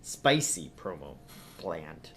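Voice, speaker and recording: A man's voice: extremely low pitch, very animated, takes it slowly, fairly bright tone, a little hiss or hum. A man co-hosting a casual let's play, reading game menus aloud with wry commentary. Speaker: gaming co-host.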